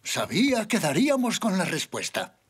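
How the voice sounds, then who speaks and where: A woman's voice: medium pitch, huge pitch swings, moderate pace. A woman voicing a helpful, practical grown-up zebra in a children's cartoon. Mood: helpful, reassuring. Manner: reasoning, reassuring explanations. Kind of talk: animated children's cartoon dub. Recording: music behind the voice.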